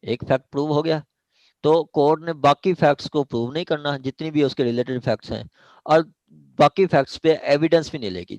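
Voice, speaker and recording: A man's voice: on the high side, darkish tone, dry recording. A man with a Pakistani accent lecturing an online law class, repeating points, checking understanding and mixing in English legal terms.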